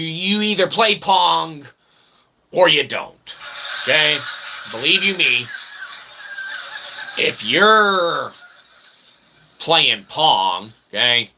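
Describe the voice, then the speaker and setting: A man hosting his channel in a rambling promotional monologue, wordy and pseudo-technical, animated, often tagging his sentences with a check for agreement. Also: a little high, creaky, noisy background. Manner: wordy pseudo-technical talk with repeated tag questions. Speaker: channel host.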